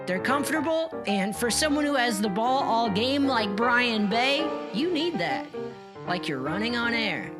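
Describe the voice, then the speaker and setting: A woman's voice: fairly high pitch, very animated, measured pace. A woman playing a cocky young sneaker reviewer, showy, slangy and emphatic, in a hyped online video. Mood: confident. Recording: music behind the voice.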